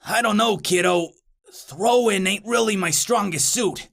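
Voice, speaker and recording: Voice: mid-range voice, unhurried, gravelly, a bit bright. A performer voicing an insecure, slangy little teen demon boy in a story, rambling and hesitant. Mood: sheepish. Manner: hesitant, rambling, self-doubting.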